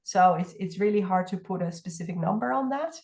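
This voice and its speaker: A congested woman with a raspy voice, answering questions at length in an online info session. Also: medium pitch, expressive, fairly quick, darkish tone. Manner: talks at length in long explanatory runs. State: congested, hoarse from illness. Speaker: partnerships staff member.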